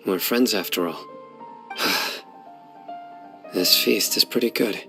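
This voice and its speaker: A man's voice: low voice, takes it slowly, creaky, music in the background, full character voice. A man voicing a reserved young man in a game, speaking tersely and plainly. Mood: relaxed. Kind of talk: game cutscene dub.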